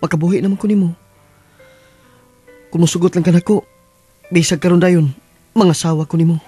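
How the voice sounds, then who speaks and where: An earnest young man courting a friend in a radio play. His voice is dark and husky, pleading sincerely over faint music. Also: high voice, fairly even intonation, moderate pace, no echo. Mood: earnest wooing. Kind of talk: radio drama acting.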